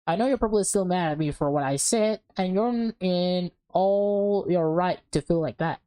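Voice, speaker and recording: A woman voicing an anxious, exasperated high school boy in a visual novel, talking in a casual, hesitant, slangy way. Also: moderate pace, warm tone, lightly acted. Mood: earnest.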